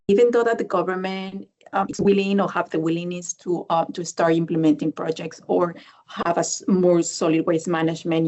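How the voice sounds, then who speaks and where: A woman, speaking English as an in a slightly low voice. She answers a panel question on a video call, often pausing to search for words and adding a questioning "no?" to her points. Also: darkish tone. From Ecuador. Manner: searching for words, many fillers, tag "no?". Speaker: panelist, development bank specialist. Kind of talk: conversational expert answer.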